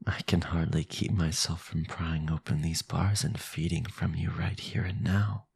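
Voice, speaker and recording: A man playing a sinister, possessive vampire lord in an audio roleplay, commanding and formal, with a breathy, gravelly voice. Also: sits low, little pitch movement, a little mellow, exaggerated voice. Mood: hungry, seductive.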